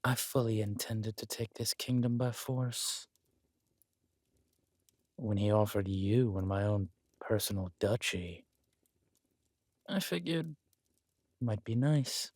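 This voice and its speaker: A man playing a scheming, aristocratic villain husband in an intimate roleplay audio drama, suave and deliberate, slipping into breathy near-whispers. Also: lowish voice, a little mellow, dry recording, studio quality. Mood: smug, musing. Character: smooth, scheming villain husband, usurper of a kingdom.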